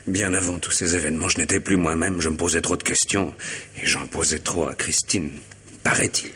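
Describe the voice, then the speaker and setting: An actor playing an anguished, disillusioned man who feels hunted, halting and brooding, in a French radio mystery drama. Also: low voice, fairly even intonation, fairly bright tone, slight background noise. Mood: bitter despair. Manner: halting, repeats words, brooding.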